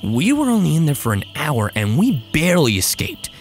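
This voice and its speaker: A teenage boy hero, played by a man, talks casually and asks questions with a very animated pitch. Music plays clearly behind his audio drama lines. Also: a little fast. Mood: intent, concerned.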